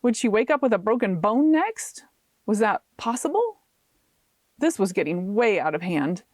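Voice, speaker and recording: A woman author reading her own fiction aloud as an expressive audiobook narrator. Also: mid-range voice, moderate pace, dry recording, studio quality.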